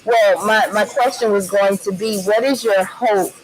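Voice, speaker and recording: A woman host interviewing a guest, attentive and asking clear questions in a huge, loud space. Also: medium pitch, fairly even intonation, measured pace, a crowd in the background, rough recording. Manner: attentive, asks questions, short affirmations.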